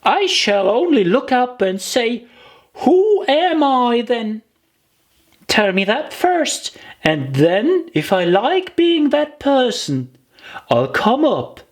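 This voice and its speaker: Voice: medium pitch, big pitch swings, slow pace, voice pushed up. A storybook narrator voicing a forlorn, earnest little girl, plaintive and self-pitying in a children's tale.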